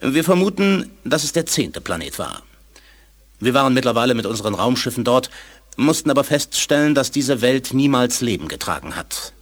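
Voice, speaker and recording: Alien scientist, husky, lively intonation, formal, measured, guarded, audio drama. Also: male voice, medium pitch. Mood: solemn explaining. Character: courteous, guarded alien scientist sharing old secrets. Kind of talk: German audio drama acting.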